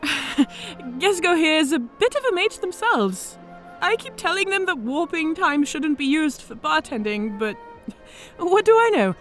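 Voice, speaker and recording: Woman as cocky orc lady officer, highish voice, teasing and welcoming, fantasy audiodrama w faint music. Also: big pitch swings, measured pace. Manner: playful, self-assured, hospitable. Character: confident, teasing female orc centurion mage. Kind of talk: fantasy audio drama acting.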